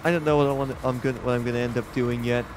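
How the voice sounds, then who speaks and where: A man with a loud voice giving rambling, casual commentary while streaming a game live, often restarting his sentences and talking to the game. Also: mid-range voice, fairly even intonation, rapid-fire, over music. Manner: rambling, self-correcting, talks to the game.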